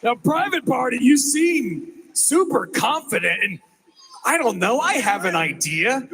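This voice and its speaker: A man with a very high voice taunts his listeners on the mic. He mocks them and builds to shouted challenges. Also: big pitch swings, a little slow, creaky. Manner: taunting, mocking.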